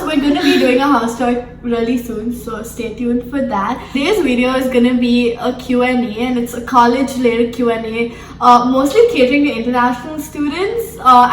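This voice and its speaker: A woman's voice: a little high, slight background noise. A young woman hosting a casual Q and A vlog in a room of her house, chatty and giggly, recorded by a camera mic some distance away. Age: young adult. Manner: chatty, giggly, quick asides. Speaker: vlogger host. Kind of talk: casual Q and A chat. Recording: echoey room, far from the mic.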